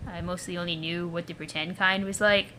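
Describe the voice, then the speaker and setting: A woman puts on a shy, insecure ghost boy for a comic reading. The delivery is tentative and mild, lower and softer than a shout, trailing off, with faint noise behind. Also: steady tone, fairly quick, low-quality recording. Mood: shy gratitude.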